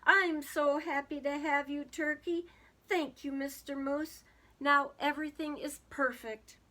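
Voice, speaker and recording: A woman's voice: high-pitched, steady tone, very slow, pushed and bright, pitched above the performer's own voice, exaggerated voice. A woman voicing a gracious, delighted female moose wife, pleased and welcoming, in a children's storybook. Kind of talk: children's storybook read-aloud.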